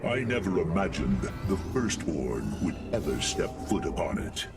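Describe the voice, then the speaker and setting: A man's voice: deep voice, very animated, normal speed, vocal fry, exaggerated voice. A man playing a proud, battle-hungry alien warrior in a game, grave, terse and formal. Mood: grave pride. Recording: game audio, distant, under loud music.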